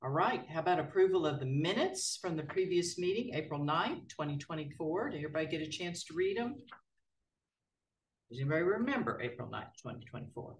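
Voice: medium pitch, fairly quick. Chair of a small civic board, casual folksy delivery, picked up at a distance in a meeting room. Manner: folksy, relaxed, hesitant with many "uh" fillers. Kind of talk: formal meeting procedure mixed with casual remarks. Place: city council chamber.

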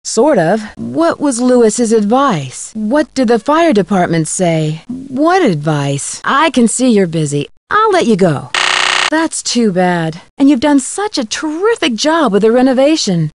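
Nosy but polite young detective in a game, bouncy pitch, clean dry audio. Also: female voice, very animated, unhurried, no echo. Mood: curious, polite. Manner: polite, inquisitive. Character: polite, inquisitive young female amateur detective. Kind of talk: video game voice acting.

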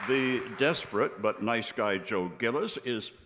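An older man announcing a live old-time radio show in a showy, deliberate, theatrical manner. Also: medium pitch, a crowd in the background. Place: large theater stage. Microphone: stand microphone on stage. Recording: echoey theater stage with audience noise.

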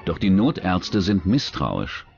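A man's voice: deep voice, wildly swooping pitch, takes it slowly, creaky, darkish tone, slightly rough, muffled recording. A man narrating a true-crime documentary from a script. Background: background music.

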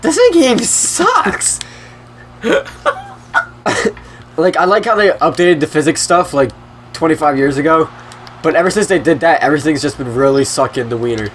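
A man's voice: a little high, big pitch swings, normal speed, pauses often, noisy background. A young man hosting a gaming video, playing casually with a friend, sarcastic and laughing while trash-talking the game. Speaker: gaming channel host. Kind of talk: casual let's play banter.

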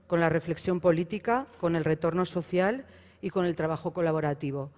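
A woman's voice: on the low side, a little mellow. A middle-aged woman moderating a conference panel, listing common points and introducing a speaker, with brief self-corrections. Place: large hall on stage. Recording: long reverb in big hall.

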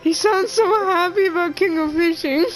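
A young woman chatting casually as she plays a game, excitable, giggly and rambling. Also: very high voice, normal speed, faint music behind, poor audio. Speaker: gamer. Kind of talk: casual chat over gameplay.

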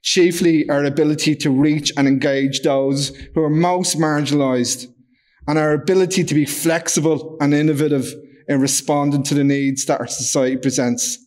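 A man in his fifties from Ireland, reading a prepared speech at a podium in a large function room with a loud voice, measured and formal, with occasional stumbles. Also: on the high side. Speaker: charity executive giving a speech. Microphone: podium microphone.

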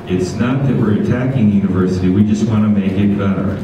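A middle-aged man with a lowish voice talks casually on a panel. He sounds distant, in a very echoey room. He tells things anecdotally, often repeating a phrase. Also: steady tone, fast talker, muffled recording, noisy background. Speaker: panelist, environmental activist. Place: meeting room, indoors. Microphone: distant camera microphone.

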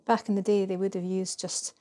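A woman in her thirties from Scotland, casually explaining a recipe in her home kitchen with a chatty, relaxed manner and some fillers. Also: medium pitch, a little fast, slight background noise. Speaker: vlogger. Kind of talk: casual narration while cooking.

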